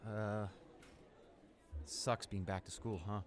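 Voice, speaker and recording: A young man playing a friendly, easily flustered high school senior in a roleplay audio drama, with an awkward, rambling, hesitant way of talking. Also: flat delivery, slow, a little hiss or hum. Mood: casual complaining. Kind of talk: roleplay audio drama, casual conversational acting.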